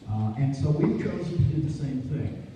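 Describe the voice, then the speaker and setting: An older man introducing a stage show informally, folksy, rambling and halting. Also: medium pitch, animated, dark tone. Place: college stage in a large hall. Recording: echoey hall from far off, faint noise.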